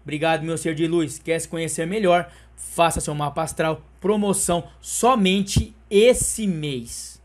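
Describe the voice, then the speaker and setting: A man's voice: on the high side, measured pace, hardly any pauses. Chummy Brazilian man reading horoscopes. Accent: Brazilian Portuguese. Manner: chummy and coaxing, addressing the listener with pet names. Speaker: horoscope channel host. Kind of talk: daily horoscope reading and sales pitch. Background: light background music.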